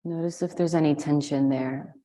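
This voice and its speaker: A woman leading a guided meditation, giving slow, soothing instructions with long pauses. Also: sits low, steady tone, mellow. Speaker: meditation and yoga teacher.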